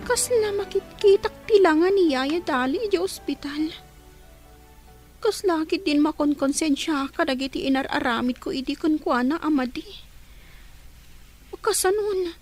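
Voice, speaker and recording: A woman playing a troubled, sharp-tongued teenage daughter in a radio drama. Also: sits high, moderate pace, faint music behind, close to an everyday voice. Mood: guilty, brooding.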